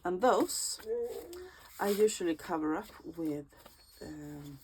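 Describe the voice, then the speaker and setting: A woman from Sweden with a Swedish accent, chatting casually in a crafting vlog, unhurried and full of ums and restarts. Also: sits low, darkish tone, slight background noise. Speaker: junk journal creator.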